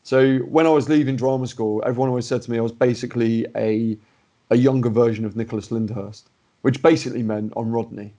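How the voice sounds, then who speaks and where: A man's voice: medium pitch, fairly even intonation, fast, mellow, lo-fi sound. Joking young Englishman hosting a podcast. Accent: Southern English. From England. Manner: jokey, stumbling restarts. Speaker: podcast host, actor. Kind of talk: casual chat.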